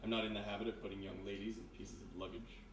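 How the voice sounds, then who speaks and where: Sinister male hypnotist played by a man for radio mystery: lowish, calmly menacing, polite, deliberate; roomy, distant stage recording. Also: lightly acted. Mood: calm, confiding. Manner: measured, insinuating, polite, deliberate. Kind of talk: live 1940s-style radio mystery acting. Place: live performance in a historic house room. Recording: roomy distant live recording, background noise.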